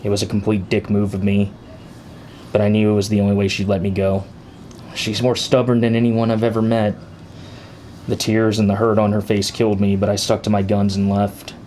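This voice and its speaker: Man voicing a quiet remorseful young son. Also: fairly low pitch, brisk, a little hiss or hum, lightly acted. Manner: quiet, even confessional delivery. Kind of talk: audiobook character reading.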